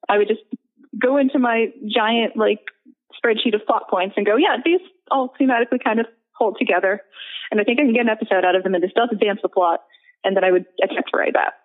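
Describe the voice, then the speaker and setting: A woman speaks casually as an interview guest, chatty and a little giggly in a self-deprecating way. Her voice sits at a medium pitch. It comes through a thin, phone-like call line. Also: quick pace. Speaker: guest, audio drama writer and producer. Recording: tinny narrow phone-like line.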